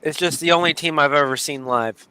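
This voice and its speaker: A man's voice: fairly high pitch, fairly quick, bright, forceful tone, clean tone, low-quality recording. A man in his thirties chatting casually and supportively on a livestream. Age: 30s. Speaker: livestream participant. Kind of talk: casual chat.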